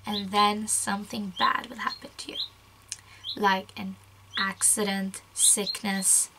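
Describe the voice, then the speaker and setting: Chatty Swedish woman with a gravelly voice. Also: medium pitch, level tone, slow pace, noisy background. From Sweden. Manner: chatty, rambling, slips into whispers and giggles. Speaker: YouTuber. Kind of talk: casual storytelling vlog. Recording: loud spooky background music.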